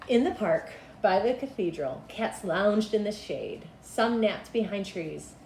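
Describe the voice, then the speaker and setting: A middle-aged woman reading a children's storybook aloud in an expressive, deliberate storytelling manner. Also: medium pitch. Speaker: school principal reading aloud. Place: small cinderblock school room, indoors. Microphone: camera a couple of meters away. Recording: echoey room with clear background noise.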